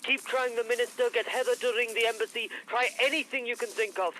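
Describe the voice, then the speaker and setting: A man's voice: extremely high pitch, steady tone, quick pace, pushed and bright, slight background noise, poor audio. A man acting in a radio drama as an urgent, commanding man hurrying to organise an escape, speaking in clipped phrases. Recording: radio play played back over music.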